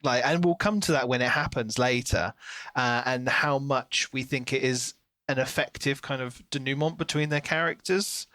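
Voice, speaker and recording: A man's voice: mid-range voice, moderate pace, bright, forceful tone, no room sound. A man co-hosting a podcast, chatting informally and thinking aloud as he discusses a book. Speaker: podcast co-host.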